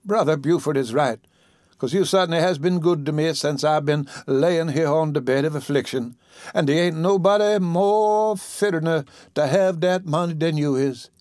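Man putting on a weak, high-pitched old widow. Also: moderate pace, darkish tone, no echo, studio quality, close to an everyday voice. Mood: earnest, weary. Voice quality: weak, plaintive voice. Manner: Southern folksy dialect. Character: weak, sickly old widow, pious and resigned.

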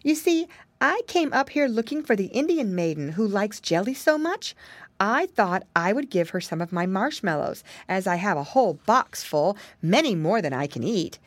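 Woman as a fretful, high-voiced little girl. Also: no echo. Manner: plaintive, exclamatory.

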